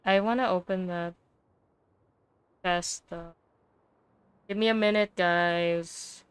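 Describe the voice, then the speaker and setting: A woman's voice: on the low side, steady tone, slow pace, clean tone. A woman giving casual, wry commentary as she plays a video game. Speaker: game streamer.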